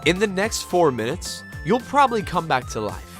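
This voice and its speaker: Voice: mid-range voice, sing-song, moderate pace, fairly bright tone, soft background music. An actor playing a bewildered, polite amnesiac spirit with dry wit in a video game fan dub, hesitant and given to exaggerated surprise. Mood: earnest. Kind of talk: dramatic fan dub with inner monologue.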